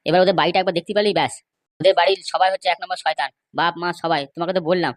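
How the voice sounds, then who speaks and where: A woman's voice: lowish voice, no room sound, studio quality, close to an everyday voice. A woman playing an aggrieved, scheming male villager in a comedy cartoon, complaining in an emphatic, gossipy way. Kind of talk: amateur comedy cartoon dialogue.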